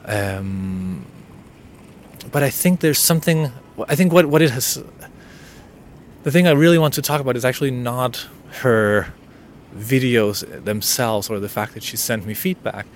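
A man's voice: mid-range voice, a little slow, slight background noise. A man whose first language is Danish hosts an unscripted solo podcast, talking in a hesitant, intimate way with many restarts. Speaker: podcast host.

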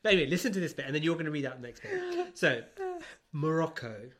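A man's voice: a little high, huge pitch swings, fast, fairly bright tone. A man co-hosting a podcast in casual comic chat, giggly and teasing as he talks. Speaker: podcast co-host.

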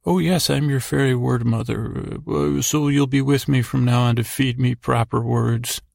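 A man voicing a flustered, business-minded female fairy word mother in a podcast bedtime story, halting and muddled. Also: medium pitch, steady tone, gravelly, a little mellow, no room sound, studio quality.

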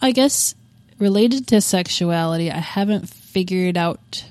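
A woman in her thirties speaks on a podcast in a somewhat low voice, frank and salty, full of "like" and "um". The sound is dry and close, with no room around it. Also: a little slow, a little mellow, no echo. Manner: frank and profane, with frequent fillers. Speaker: podcast guest. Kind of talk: candid casual interview chat.

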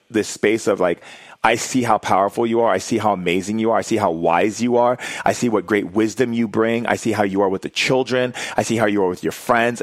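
Effusive low-voiced man, dry recording. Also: no echo. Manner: effusive, repeats phrases for emphasis. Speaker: interview guest. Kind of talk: conversational personal reflection.

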